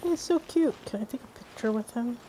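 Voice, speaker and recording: A woman's voice: a little high, lively intonation, a little fast, heavy background noise. A woman giving casual gameplay commentary, thinking aloud informally as she plays. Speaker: gamer recording a walkthrough. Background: loud game music.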